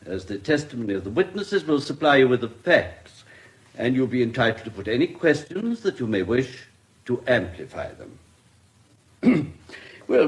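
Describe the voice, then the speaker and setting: A man playing a courteous, formal English coroner presiding over an inquest in a radio drama, with measured courtroom diction. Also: medium pitch, natural voice. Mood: formal, solemn.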